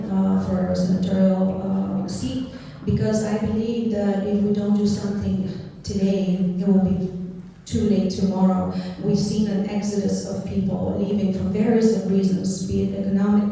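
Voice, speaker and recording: Heard from far off in a big echoey hall, a woman speaks in a dark, mellow tone and a level, even delivery. She is a candidate at a forum, earnest and measured. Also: medium pitch, a little hiss or hum. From Bulgaria. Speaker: senatorial candidate.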